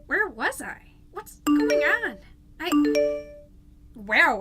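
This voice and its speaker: Woman acting a high-voiced, flustered, anxious mom podcaster. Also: pushed and bright, exaggerated voice. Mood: shocked, distraught. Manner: flustered, halting, repeating apologies and exclamations. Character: anxious, apologetic mom podcaster slipping into eerie trances. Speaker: fictional podcast host. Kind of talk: scripted audio drama monologue as a podcast.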